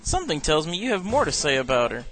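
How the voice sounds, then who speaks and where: A man's voice: fairly high pitch, wildly swooping pitch, fast talker, fairly bright tone, soft background music. A man playing a mild, inquisitive, polite teenage schoolboy in an amateur anime dub. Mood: curious. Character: mild, curious, boyish teenage schoolboy classmate.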